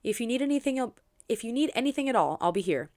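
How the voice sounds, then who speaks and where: A guarded young cowboy acted out by a woman narrator in a romance audiobook. He is a chivalrous recluse who speaks in terse, brisk phrases. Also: fairly high pitch, big pitch swings, a little mellow, dry recording, studio quality. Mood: courteous, guarded. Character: reclusive rancher cowboy, gruff but chivalrous young man.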